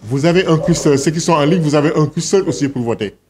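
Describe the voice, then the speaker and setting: A man's voice: on the high side, background noise. A man chairing a board meeting, giving a formal address and calling votes. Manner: measured, then loud and directive when calling the vote. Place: meeting hall.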